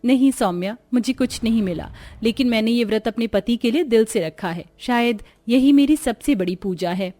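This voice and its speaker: A woman's voice: on the high side, normal speed, hardly any pauses, dark tone, soft background music, lightly acted. A woman playing a humble, devoted, long-suffering wife in a cartoon story, earnest and pleading in her delivery. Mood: sad, sincere.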